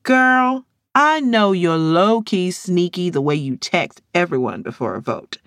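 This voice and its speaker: A woman voicing a brash, playful American woman in an audiobook, loud and argumentative, with an American accent. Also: on the low side, animated, unhurried, no echo, clean studio sound. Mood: teasing accusation. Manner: loud, protesting, teasing.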